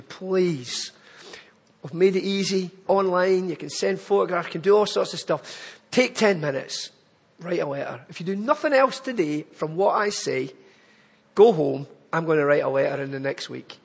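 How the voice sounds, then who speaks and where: A man's voice: a little high, normal speed, gravelly, breathy edge, in a large hall. A man with a Glaswegian accent giving an earnest, pleading charity appeal in church. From Scotland. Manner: earnest, pleading, building to emphatic lists.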